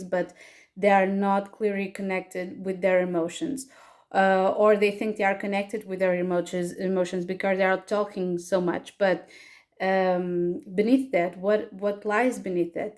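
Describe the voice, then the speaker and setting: A Portuguese-accented woman, a native Portuguese speaker, leads a tarot reading in English. She talks slowly and on a fairly flat pitch, pausing often on fillers and restarting her sentences. Her voice sits at a middle pitch for a woman. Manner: hesitant, many fillers and restarts. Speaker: tarot reader and astrologer. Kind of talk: casual pick-a-card tarot reading.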